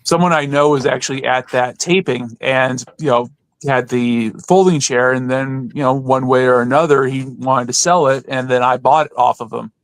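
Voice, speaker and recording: A man's voice: medium pitch, fairly even intonation, moderate pace, no echo, low-quality recording. A man in a casual, chatty podcast conversation, telling anecdotes as a co-host. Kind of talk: casual chat about wrestling.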